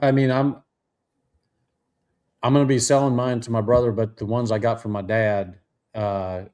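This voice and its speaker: A man's voice: medium pitch, little pitch movement, moderate pace, a little mellow. Guy cohosting a chat stream, jokey and easygoing. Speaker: co-host. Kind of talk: casual video chat.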